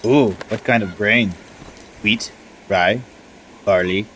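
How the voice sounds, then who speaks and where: Man playing a gruff low-voiced dwarf commander. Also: slow, a bit bright, a little hiss or hum. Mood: gruff curiosity. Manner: folksy, bantering with grunts. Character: gruff battle-scarred dwarf commander, shaggy and friendly to recruits. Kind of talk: fantasy audio drama acting.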